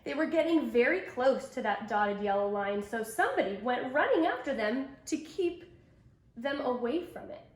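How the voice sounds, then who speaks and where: Woman, high-pitched voice, echoey room, children's read-aloud, expressive storyteller. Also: moderate pace. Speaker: storybook reader. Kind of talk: children's picture book read-aloud with asides to young listeners.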